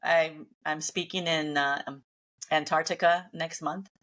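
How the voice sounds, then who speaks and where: A woman's voice: low voice, fairly even intonation, unhurried, a bit bright. A middle-aged woman whose first language is Spanish, speaking earnestly and upbeat as a guest in a casual interview. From Mexican family, grew up in Los Angeles.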